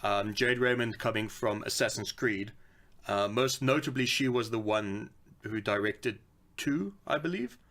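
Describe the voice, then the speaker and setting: A man's voice: on the low side, unhurried, a bit bright. A man co-hosting a podcast in a casual, blunt and opinionated way, with swearing. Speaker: podcast co-host. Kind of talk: casual chat.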